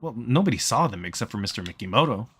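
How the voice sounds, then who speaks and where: A man's voice: fairly low pitch, sing-song, quick pace, a bit bright, husky. A man reading a visual novel aloud in a casual way, acting out bits of dialogue as he narrates. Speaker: let's player reading game text aloud.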